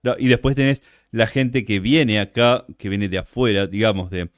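A man's voice: on the low side, steady tone, moderate pace, no room sound, studio quality. A young Argentine man who is a guest on a livestream interview, chatting casually and animatedly.

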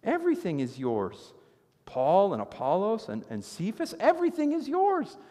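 A man in his thirties preaching a sermon from a church stage, telling stories with animated gestures. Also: high-pitched, moderate pace. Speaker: pastor. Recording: long reverb of a church hall.

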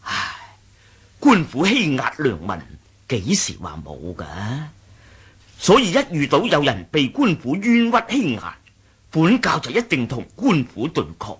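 An actor playing a loyal, deferential senior lieutenant of a martial sect in a Hong Kong Cantonese radio drama, formal and husky. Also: male voice, on the high side, huge pitch swings, a little slow, a bit bright, full character voice. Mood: grave, earnest. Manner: formal, respectful. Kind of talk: 1980s radio drama acting.

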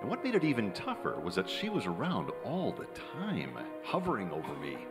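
A man's voice: lowish voice, huge pitch swings, a little fast. A middle-aged American man playing a cynical young screenwriter who narrates in a wry, old-time radio drama style. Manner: wry, hard-boiled. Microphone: vintage-style stand microphone. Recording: reverberant theater hall with music.

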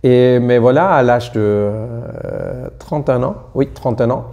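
A man's voice: mid-range voice, measured pace, vocal fry, dark tone. A man in his thirties, a native German speaker from Austria with a German accent, talking hesitantly with many fillers and restarts as an interview guest. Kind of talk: casual interview chat in a learned language. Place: small indoor studio room.

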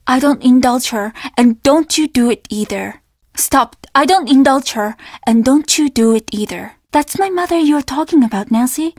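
An actress playing a stern young innkeeper's daughter in a video game, speaking in a measured, formal and easily offended way. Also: female voice, sits high, darkish tone, no room sound, studio quality. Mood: angry. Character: guarded, stern young Japanese innkeeper's daughter, polite but curt. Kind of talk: video game character dialogue.

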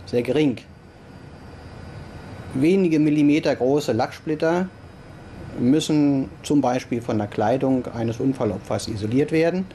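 A middle-aged man speaks as a forensic expert in an interview. His timbre is dark and relaxed, his explanations precise and matter-of-fact. Faint noise and ambience sit behind him. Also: medium pitch, unhurried, long pauses.

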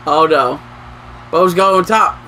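A young man playing a video game with a friend, calling out the action with excitable exclamations. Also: a little high, takes it slowly, very noisy. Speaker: co-player. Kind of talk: casual play-by-play banter.

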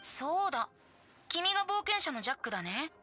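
A woman's voice: very high-pitched, very animated, brisk, music in the background. A woman voicing a breezy, playful youthful boy bard in a video game, easygoing and cheerful. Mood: casual, friendly. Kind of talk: Japanese video game voice acting.

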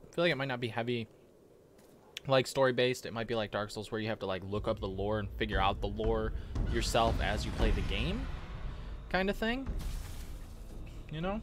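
A young man giving a casual, halting, slangy reaction commentary as a gaming YouTuber at a desk indoors. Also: on the low side, animated. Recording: clear background noise of game ambience.

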